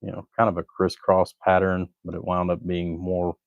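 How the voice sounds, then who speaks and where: A man's voice: low-pitched, monotone, normal speed, dry recording, phone-quality sound. A man chatting casually on a livestream while he works at a bench, rambling along in a laid-back, folksy way. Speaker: livestream host, hobbyist amplifier builder.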